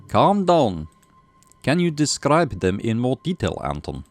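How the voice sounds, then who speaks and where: A man playing a composed police lieutenant, animated pitch. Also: unhurried, no room sound, faint music behind. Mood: calm, inquiring. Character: composed male police lieutenant questioning schoolchildren.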